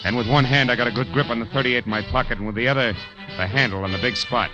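An actor playing a wry, world-weary private eye in 1940s radio noir style. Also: male voice, medium pitch, quick pace, close to an everyday voice. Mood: tense, wry. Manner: wry, clipped storytelling. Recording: dull old 1940s radio recording, music behind.

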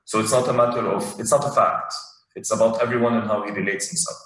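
A man's voice: mid-range voice, steady tone, fast talker, heavy reverb, far from the mic, poor audio. A young man from Abu Dhabi, a guest in a casual talk, thinking aloud and repeating words as he goes. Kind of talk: casual conversational interview.

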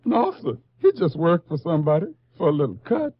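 On a muffled old radio recording, a meek, frightened working man under questioning. His voice sits a little high, and he speaks in a folksy, deferential, pleading way, as in a 1950s radio drama. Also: big pitch swings, a little fast, slightly rough, dry recording. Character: frightened, deferential car lot worker under police questioning.

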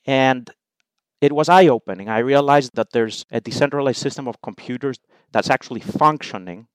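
A man's voice: mid-range voice, normal speed, clear, resonant tone, dry recording. A man from Greece, a native Greek speaker, explaining things methodically as an interview guest. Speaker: podcast guest, investment professional.

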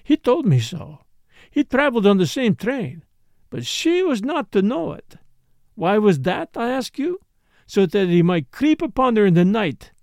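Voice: medium pitch, sing-song, a little slow, gravelly, a little mellow, no echo, clean studio sound, pitched above the performer's own voice. A reader voicing a passionate, scheming foreign female dancer in an audiobook story, emphatic, dramatic and accusing. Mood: passionate, angry.

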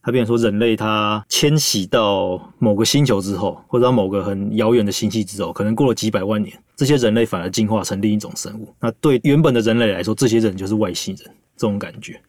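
A man hosts a casual discussion in Taiwanese Mandarin. He talks quickly at a middling male pitch, with very little room sound around him. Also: no echo, studio quality. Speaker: host. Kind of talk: casual chat.

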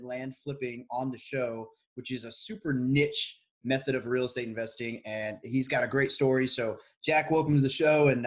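A man hosting a podcast, introducing his guest in an upbeat, enthusiastic, casual way. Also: mid-range voice. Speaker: podcast host.

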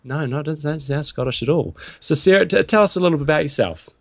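A man's voice: on the high side, expressive, quick pace, creaky, no echo. A man co-hosting a sports podcast, chatting casually and reading a sponsor spot in a laid-back way. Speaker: podcast co-host. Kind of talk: casual chat and sponsor read.

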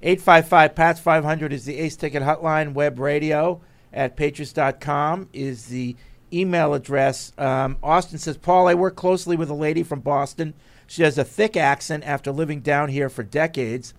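A man's voice: a little high, steady tone, normal speed. Upbeat man hosting sports radio. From New Jersey. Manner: reads listener emails aloud, playful back-and-forth. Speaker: radio show host. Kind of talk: casual sports radio chat.

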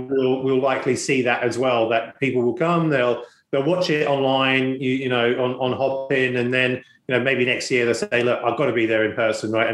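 A man hosting a conversational interview, speaking haltingly with repeated words and frequent "you knows". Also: mid-range voice. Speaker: podcast host. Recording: echoey room.

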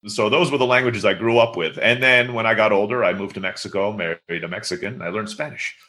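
Interview guest, man in his thirties, raised in Turkey; brisk, bright-toned storyteller, rambling and casual. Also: fairly low pitch. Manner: chatty, storytelling with many fillers.

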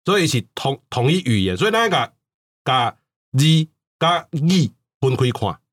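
A man's voice: medium pitch, very animated, moderate pace, a bit bright. A man with a Taiwanese accent explaining things casually as a talk-show host, often repeating words for emphasis. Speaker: podcast host.

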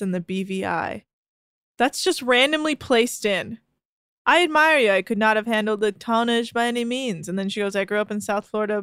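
A woman's voice: a little high, a bit bright, smooth, dry recording, studio quality. A woman co-hosting a casual podcast chat, reading posts aloud with amused disbelief. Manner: amused, incredulous, laughing. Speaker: podcast co-host.

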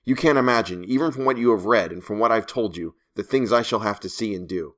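A man voicing a calm, confident, condescending explorer with a mellow voice and measured, formal delivery. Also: on the low side, lightly acted. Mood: composed. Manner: coolly unperturbed, measured, formal.

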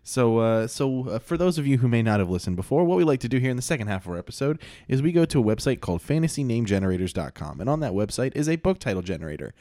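A man's voice: mid-range voice, very animated, very fast, hardly any pauses, warm tone, no room sound, clean studio sound. A man hosting a podcast in casual chat, laid-back and rambling, with lots of fillers. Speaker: podcast host.

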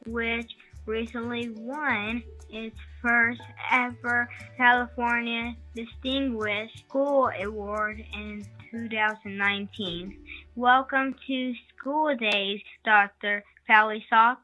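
A guest reads a prepared introduction aloud slowly and carefully. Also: female voice, a little high, level tone, sharp tone, faint music behind, poor audio. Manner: slow, careful reading. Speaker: child guest reader. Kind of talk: reading an introduction.

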